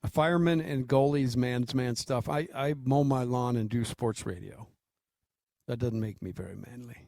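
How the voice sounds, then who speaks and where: A man's voice: medium pitch, steady tone, moderate pace, creaky, a little mellow, dry recording, studio quality. An American man co-hosting a sports radio show with casual, informal and joking talk.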